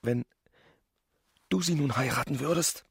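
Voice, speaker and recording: A shy, dreamy country doctor in love murmurs his private thoughts in a radio play. His mid-pitched voice is very breathy and frayed with creak. He sounds pensive and hesitant. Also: measured pace, dry recording, clean studio sound, heavily acted. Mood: wistful musing. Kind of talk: radio play acting, inner monologue.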